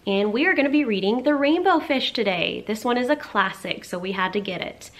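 A woman in her thirties reading to children as a story time host, with expressive, clearly phrased delivery. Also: a little high, brisk. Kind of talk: children's picture book read-aloud.

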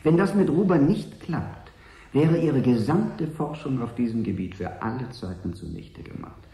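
An actor playing a smooth, polite but ruthless consortium agent in a radio drama, articulate, wry and persuasive. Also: male voice, mid-range voice, expressive, moderate pace, dark tone, echoey room. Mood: insistent, persuasive. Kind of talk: 1980s German radio drama acting.

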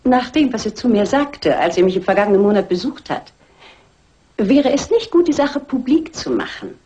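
An actress playing an artless, indiscreet aunt in a crime radio play, chatty, naive and insinuating. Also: female voice, on the high side, big pitch swings, moderate pace, warm tone, lo-fi sound. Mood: artless insinuation. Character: artless, indiscreet aunt blurting family secrets.

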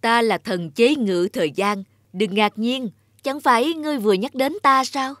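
A woman voicing a solemn, commanding time goddess in a cartoon, speaking in a slow, lofty way. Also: fairly high pitch, fairly bright tone, no room sound, studio quality, lightly acted. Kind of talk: dubbed cartoon acting.

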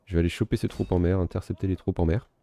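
A man giving relaxed, self-mocking commentary while playing a strategy game, thinking aloud. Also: deep voice, rapid-fire, gravelly. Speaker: gaming video host. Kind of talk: casual let's-play commentary.

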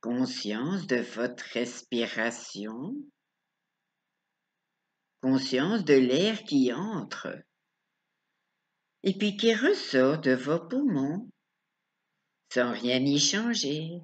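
A woman leading a guided relaxation as a coach, speaking calmly and instructively in short phrases with pauses. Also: extremely low pitch. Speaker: relaxation coach guiding yoga nidra.

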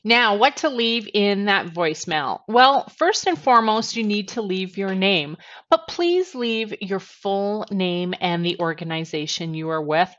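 A woman's voice: mid-range voice, animated, measured pace, a bit bright. A woman in her forties giving instructional tips to camera as a trainer, stressing each point step by step. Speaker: sales trainer presenting tips. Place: small attic bedroom, indoors. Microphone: headset. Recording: dull, lo-fi headset sound.

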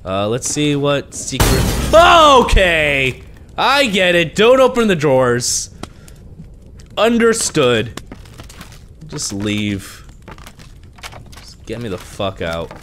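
A man's voice: on the high side, huge pitch swings, takes it slowly, pauses often, pushed and bright, a little hiss or hum. A young man with a congested voice, nervously talking himself through a horror game into a headset mic in a small room at home. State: congested from allergies. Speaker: gaming video creator.

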